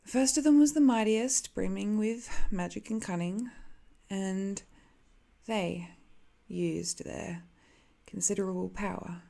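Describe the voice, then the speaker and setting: A woman voicing an ancient cursed living weapon, a soft-spoken, wistful villain who muses hesitantly in an intimate ASMR roleplay, sometimes dipping toward a whisper. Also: mid-range voice, a little slow, creaky. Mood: brooding, matter-of-fact.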